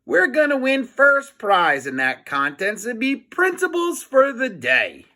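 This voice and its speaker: A man's voice: very high voice, huge pitch swings, unhurried, sharp tone, pitched above the performer's own voice, heavily acted. A man voicing a confident, mischievous fourth-grade boy in a storybook, eager and boastful.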